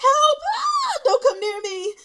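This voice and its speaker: Woman voicing a young male officer, panicked, tearful, hapless, high-pitched wailing, loud storybook crybaby. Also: huge pitch swings, slow, heavily acted. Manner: wailing, yelling through tears.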